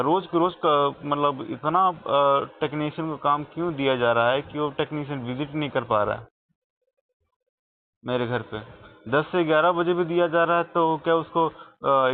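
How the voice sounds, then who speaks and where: A tinny phone recording carries the fairly high voice of an Indian man. He is a customer making a complaint. He presses his point insistently and repetitively, sounding aggrieved. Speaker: customer calling support with a complaint.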